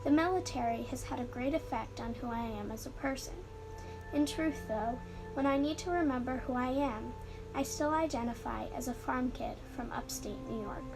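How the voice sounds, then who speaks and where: A woman reading a written tribute aloud as scripted narration, earnest and measured. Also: high voice, gravelly, low-quality recording. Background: background music.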